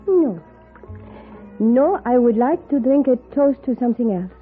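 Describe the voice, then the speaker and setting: A strong-willed frontier woman with a highish, husky voice that swoops widely. It is emphatic 1950s radio western acting on a tinny old recording. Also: animated, measured pace, soft background music, full character voice. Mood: firm, emotional.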